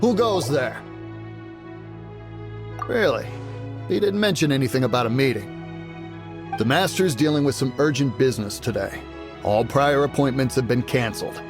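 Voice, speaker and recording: A man's voice: medium pitch, animated, normal speed, pauses often, natural voice. An actor plays a jittery male servant with a mid-range voice who stammers and keeps apologizing. Faint music runs behind him. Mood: guarded. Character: nervous male servant guarding a manor.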